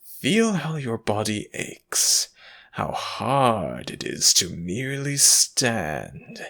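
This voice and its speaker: A man playing a cruel, gloating slave master in an ASMR audio drama, taunting and condescending with drawn-out, emphatic phrasing. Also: on the low side, gravelly, bright, forceful tone, full character voice. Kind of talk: ASMR audio roleplay, theatrical villain monologue.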